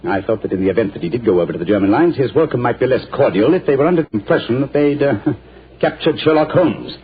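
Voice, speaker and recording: A cool, incisive detective who talks quickly with lively, shifting pitch and a husky edge. He is assured and a little condescending. The sound is thin and lo-fi, like an old radio broadcast. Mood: explaining. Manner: crisp, assured, faintly condescending. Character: cool, incisive male detective, middle-aged. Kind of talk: 1940s radio acting.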